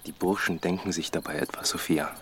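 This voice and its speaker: Reserved, low-voiced solitary farmer. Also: male voice, fairly even intonation, fairly bright tone, a little hiss or hum. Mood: gentle, earnest. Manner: measured, terse, plain-spoken. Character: reserved, solitary well-off farmer, earnest and stubborn. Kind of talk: scripted German radio drama acting.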